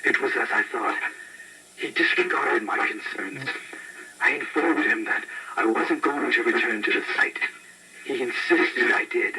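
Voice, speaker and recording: A man playing a shaken archaeologist giving grim, halting testimony in a horror drama. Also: mid-range voice, over music, close to an everyday voice, poor audio. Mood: grave, resolute. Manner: halting, grave, repeating himself. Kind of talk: horror monologue, recorded testimony.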